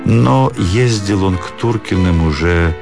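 Deep-voiced male storyteller. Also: unhurried, vocal fry, over music. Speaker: narrator, actor. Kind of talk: dramatised literary narration.

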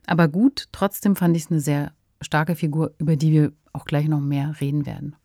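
A woman's voice: sits low, fairly even intonation, normal speed, dark tone, no room sound, studio quality. A woman, co-hosting a podcast in a conversational, discursive style. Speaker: podcast co-host. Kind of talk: conversational radio drama discussion.

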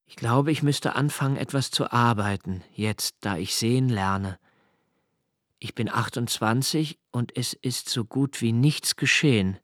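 A man voices a solitary young poet in a literary radio drama, turning his memories and fears over in his mind. His delivery is slow and deliberate, and his voice sits at an ordinary, middle pitch. The tone stays quiet and inward, like someone thinking aloud. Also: no room sound, studio quality, natural voice. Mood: brooding. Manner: slow, measured, contemplative. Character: brooding young male poet, 28, solitary and reflective. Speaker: first-person narrator of a radio play.

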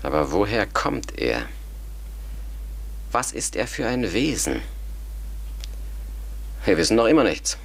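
An actor playing a sceptical, methodical educated man who reasons formally and deliberately in a German radio drama. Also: lowish voice, big pitch swings, creaky, exaggerated voice.

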